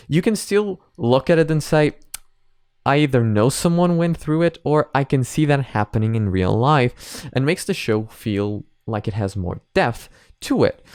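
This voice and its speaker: A man's voice: medium pitch, darkish tone, dry recording, studio quality. A man hosting a reaction video, talking in a casual, rambling, conversational way.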